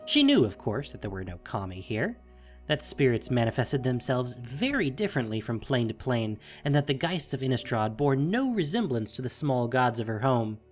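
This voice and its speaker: Male storyteller for scripted fantasy drama. Measured, dramatic, widely varied intonation, music underneath. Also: mid-range voice, very animated. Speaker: audio drama narrator.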